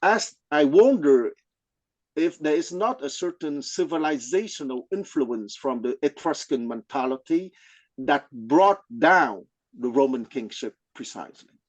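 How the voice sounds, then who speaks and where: A man who is a non-native English speaker, explaining things in an informal scholarly discussion, haltingly and often checking with "okay?". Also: fairly high pitch, animated, no room sound. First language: not English. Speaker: study group participant.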